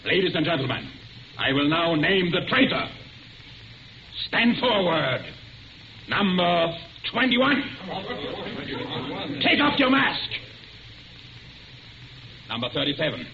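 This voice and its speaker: An actor playing the coldly formal, menacing boss of a masked crime society, speaking in measured tones in a 1940s radio drama. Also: male voice, on the high side, big pitch swings, vocal fry, exaggerated voice. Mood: commanding. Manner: measured, formal, coolly authoritative. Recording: muffled, very noisy old radio recording.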